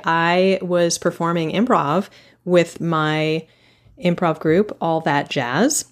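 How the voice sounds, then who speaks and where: A woman hosting a podcast, talking in a casual, enthusiastic way and laughing often. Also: fairly low pitch. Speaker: podcast host.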